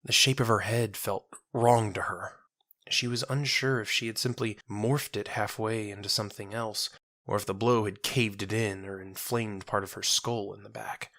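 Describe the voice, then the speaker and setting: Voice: mid-range voice, fairly even intonation, normal speed, a bit bright, no room sound. Solemn story narrator, very dry close sound. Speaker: narrator of an audio drama. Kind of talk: scripted dramatic story narration.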